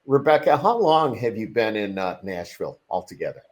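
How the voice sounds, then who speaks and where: A middle-aged man hosting a podcast, chatting casually, rambling and quick to praise his guest. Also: fairly low pitch, big pitch swings. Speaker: podcast host. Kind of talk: casual interview chat. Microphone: podcast microphone.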